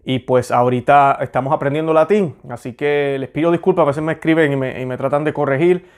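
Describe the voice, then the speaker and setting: A man's voice: on the high side, fairly even intonation, a little fast, clear, resonant tone. Earnest man hosting a faith show. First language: Spanish. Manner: earnest, conversational, with many fillers. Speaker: host. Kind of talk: religious commentary and prayer.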